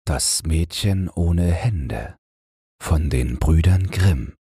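A man narrating a fairy tale as a bedtime audiobook, in a low, husky, breathy voice. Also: animated, extremely slow, mellow, dry recording, studio quality.

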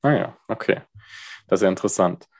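A man's voice: low voice, a little fast, darkish tone, slightly rough, dry recording. A man hosting a casual political podcast, chatty and explanatory with many fillers, recorded remotely. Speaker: podcast host asking questions.

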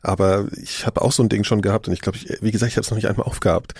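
A man with a lowish, creaky voice, talking very quickly in a dry, close recording. He chats informally like a host, rambling a little as he thinks aloud. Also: steady tone, darkish tone, dry recording. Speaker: host of a discussion. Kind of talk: casual interview chat.

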